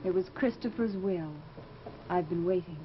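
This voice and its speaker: An actress playing a lonely, yearning widow in a vintage radio horror drama, speaking graciously with wistful, dramatic intensity. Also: female voice, on the low side, moderate pace, lightly acted. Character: lonely, yearning widow of a musician, haunted and eerie. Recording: noisy, dull vintage radio recording.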